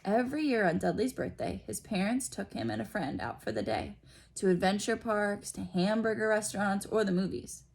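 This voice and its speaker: A woman's voice: medium pitch, expressive, moderate pace, gravelly, darkish tone. A woman reading a children's story aloud expressively, like a school principal, with explanatory asides.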